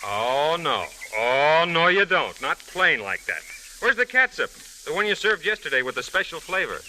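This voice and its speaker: An actor playing a hungry, impatient husband in a vintage radio commercial, eager and exaggerated. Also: male voice, a little high, big pitch swings, normal speed, sharp tone, full character voice. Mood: indignant. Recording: noisy old radio recording, sizzling.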